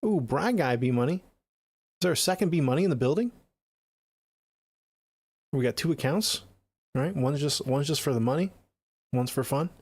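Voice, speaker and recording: A man's voice: a little high, expressive, moderate pace, long pauses, darkish tone, slightly rough, no room sound. A middle-aged man with an American accent chatting casually on a livestream in a laid-back, slangy way, close to the mic. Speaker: music production livestreamer. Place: small home studio room, indoors.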